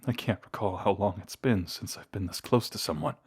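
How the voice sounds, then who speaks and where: Man voicing a male vampire, deep voice, brooding, courtly, measured old-fashioned phrasing, tender, intimate audio roleplay. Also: slightly rough. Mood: tender, longing.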